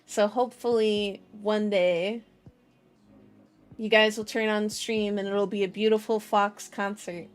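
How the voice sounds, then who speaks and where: A woman's voice: mid-range voice, flat delivery, unhurried, clean tone. A woman hosting a livestream in casual chat, with a playful, childlike way of phrasing things and a habit of repeating herself. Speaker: VTuber livestream host. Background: background music.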